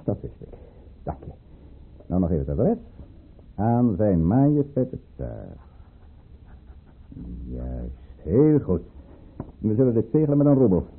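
Husky scheming count, wildly swooping delivery. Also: male voice, medium pitch, full character voice. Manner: theatrical, suave and calculating. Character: scheming, smooth, domineering count plotting to control the tsar. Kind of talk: Dutch radio play acting. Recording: muffled old radio play recording.

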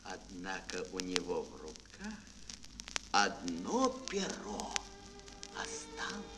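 A man narrating a children's fairy tale theatrically, with dramatic phrasing and pauses, as a storyteller in an audio play. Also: low-pitched, huge pitch swings, poor audio. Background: music.

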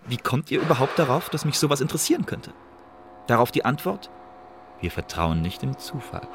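An actor playing an uneasy secret agent in a radio play, giving formal, clipped reports with agitated edges. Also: male voice, medium pitch, fairly bright tone, slight background noise, lightly acted. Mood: indignant. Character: male secret agent Leander reporting anxiously to his superiors.

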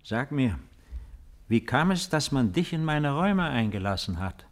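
A man's voice: mid-range voice, very animated, measured pace. A fallen Roman emperor in a vintage radio drama. His mid-range voice is stately and imperious, brooding and full of pomp. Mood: brooding, calm. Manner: stately, pompous. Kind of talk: 1950s German radio play acting.

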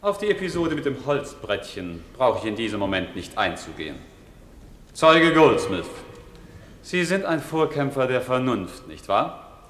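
Defence lawyer, 1950s radio play: formal, insistent, highly animated oratory, long hall reverb. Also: male voice, unhurried. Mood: pressing. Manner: rhetorical, formal, pressing questions. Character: insistent, eloquent male defence lawyer in an old London courtroom. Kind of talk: 1950s German radio drama acting, courtroom oratory. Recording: echoey hall, faint hiss, old radio recording.

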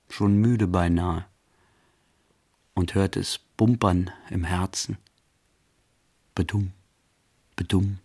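A pensive, mildly serene thinker in a literary radio play. His voice is low and creaky, and he moves very slowly through short, halting phrases as he muses inwardly. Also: heavily acted. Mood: reflective, quiet. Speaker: actor, narrator.

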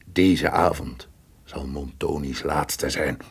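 An actor playing a scheming, suspicious conspirator who feigns friendship, with formal theatrical delivery in a gothic radio drama. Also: male voice, extremely low pitch, takes it slowly, heavily acted. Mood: vengeful. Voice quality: gravelly, husky. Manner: formal, theatrical, breaking into tense muttering.

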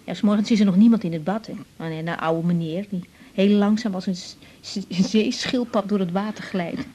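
Mellow, husky young woman doctor, played by an actress. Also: medium pitch, normal speed, natural voice. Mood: chatty. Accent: Dutch (Netherlands). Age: young adult. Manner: conciliatory, coaxing. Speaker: radio drama actor. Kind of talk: 1980s Dutch radio drama acting.

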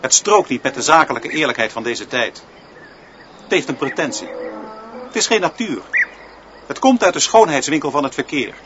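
A man's voice: on the high side, sing-song, normal speed, pauses often, noisy background. A man acting as an opinionated, pedantic schoolteacher in a radio drama, lecturing in a declamatory way. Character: opinionated, pedantic male schoolteacher leading pupils. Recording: dull recording with music behind.

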